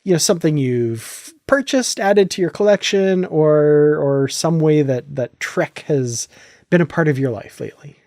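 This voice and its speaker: A man's voice: a little high, animated, unhurried, a little mellow, no echo, clean studio sound. A man hosting a podcast in a casual, conversational style. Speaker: podcast host.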